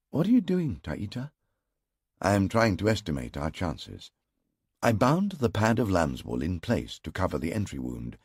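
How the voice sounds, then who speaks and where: Deep husky male audiobook narrator. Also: a little mellow, dry recording, studio quality. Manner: slow, grave, measured storytelling. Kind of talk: first-person audiobook narration.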